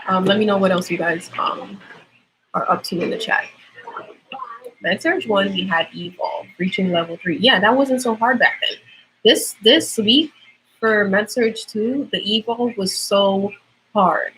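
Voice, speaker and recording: Woman, twenties, Trinidadian accent, casual livestream talk, lots of fillers, middle pitch, faint noise. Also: a little slow, fairly bright tone, low-quality recording. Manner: chatty, explains with lots of fillers. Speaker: nursing student livestreamer.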